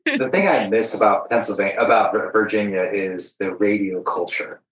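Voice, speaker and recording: A man's voice: lowish voice, moderate pace, fairly bright tone, distant mic. A man hosting a podcast in casual conversation, talking in an informal, chatty way. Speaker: podcast host. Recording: roomy echo, off the mic.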